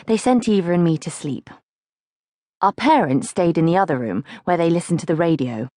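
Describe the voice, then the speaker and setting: Expressive woman narrator, very dry recording. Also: on the low side, moderate pace, no echo. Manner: expressive, acts out questions. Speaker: audiobook narrator. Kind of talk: scripted audiobook narration of a childhood diary.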